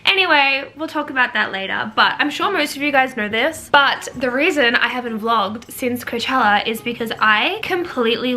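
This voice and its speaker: A woman in her twenties vlogging from her couch at home, talking chattily to the camera and rambling with quick asides. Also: on the high side, bright, forceful tone. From Australia. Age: 20s.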